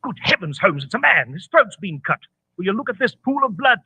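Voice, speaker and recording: An actor playing a proper, easily baffled English gentleman companion with a British accent, blustery and fussy, in an old radio drama. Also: sits high, very animated, brisk, no echo, full character voice. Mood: alarmed. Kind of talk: 1940s radio acting. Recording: tinny, husky old radio recording.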